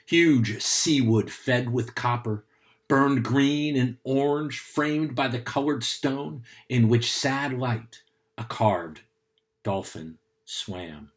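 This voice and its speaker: A man giving a dramatic poetry recitation, reading in a deliberate, theatrical manner. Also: medium pitch. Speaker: poetry reader, host.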